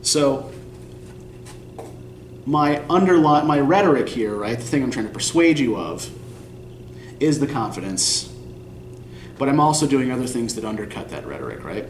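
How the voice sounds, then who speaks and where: A man's voice: mid-range voice, very animated, moderate pace, long pauses, recorded from a distance, slight background noise. A young man from Eastern Pennsylvania teaching a college writing class, talking casually, rambling and self-deprecating, often checking in with "right?". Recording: echoey classroom recorded from afar.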